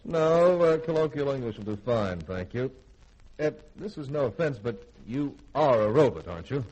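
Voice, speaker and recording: A man's voice: mid-range voice, animated, moderate pace, a little mellow, muffled recording, lightly acted. A man in a 1950s radio drama playing a courteous military spaceship officer, speaking in a clipped, emphatic, genial way. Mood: amused, curious.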